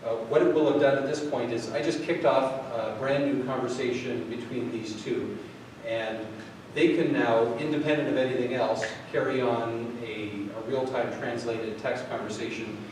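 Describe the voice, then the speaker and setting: A man's voice: medium pitch, steady tone, normal speed, few pauses, a little mellow. Guy rambling thru a live tech demo, lots of ums, far off mic, noisy room. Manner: rambling, lots of fillers and restarts. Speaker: product demo presenter. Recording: echoey hall from far off, noisy.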